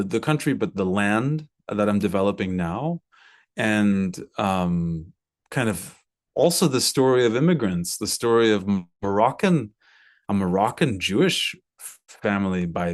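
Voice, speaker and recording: An American man in his thirties talking reflectively in an interview, searching for his words and repeating some for emphasis. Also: lowish voice. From Brooklyn, United States. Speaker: composer and violinist interviewee.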